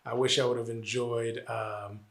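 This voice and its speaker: A man's voice: mid-range voice, flat delivery, moderate pace, vocal fry, roomy sound. A man in his forties from New Jersey, answering interview questions earnestly, with many "uh"s and repeated phrases. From New Jersey, Puerto Rican family. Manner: earnest, lots of "uh" and "you know", repeats phrases. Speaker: interviewee, college administrator.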